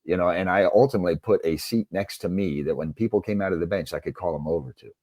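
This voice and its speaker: A man's voice: low-pitched, fast talker, a little mellow, no room sound, studio quality. A man speaking as a coach and interview guest, answering conversationally in an earnest, emphatic way.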